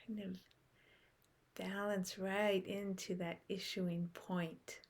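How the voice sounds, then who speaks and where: A woman guiding a meditation in a soft, unhurried way with long pauses, her breathy voice often trailing off into a whisper. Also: medium pitch, little pitch movement. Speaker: meditation guide. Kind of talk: guided meditation.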